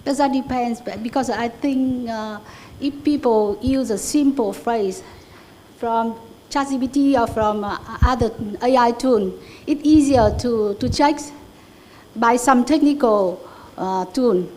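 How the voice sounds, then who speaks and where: A woman who works as a journal editor-in-chief, speaking hesitantly at a conference discussion, often pausing and restarting. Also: on the high side, darkish tone, slight background noise, poor audio. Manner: hesitant, many fillers and restarts. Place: conference hall.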